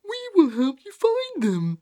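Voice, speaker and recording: Lady doing a little buddy who comforts everyone, kinda high, soothing, lilting. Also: huge pitch swings, unhurried, mellow, no room sound, studio quality, exaggerated voice. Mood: caring. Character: caring small storybook friend, reassuring.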